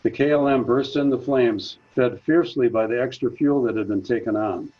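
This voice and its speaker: A man delivers a prepared talk at a senior center, moving steadily through his notes. His voice is husky and sits at an ordinary pitch. The recording sounds dull and lo-fi. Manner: measured, reads from notes. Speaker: presenter.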